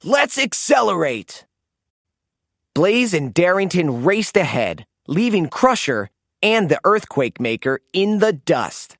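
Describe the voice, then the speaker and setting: Slow, very animated storybook narrator. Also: medium pitch, dry recording, clean studio sound. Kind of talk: animated children's read-aloud narration.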